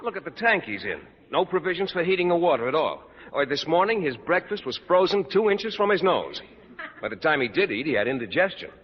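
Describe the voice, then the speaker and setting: An actor playing a shy, earnest biology teacher, hesitant and literal, in a vintage radio sitcom. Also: male voice, on the high side, very animated, a little fast, a crowd in the background. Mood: earnest, worried. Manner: hesitant, awkward, literal-minded. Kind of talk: 1940s-50s radio sitcom acting. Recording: very noisy, muffled vintage radio recording.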